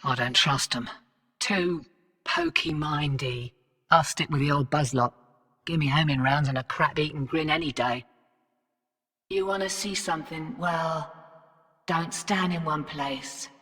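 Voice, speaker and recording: A woman's voice: sits low, unhurried, long pauses, a bit bright, big-room sound. An actress voicing a cocky female rogue fortune hunter and arms dealer in a video game, cheeky, slangy and sardonic. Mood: resolute. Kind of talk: video game character voice lines.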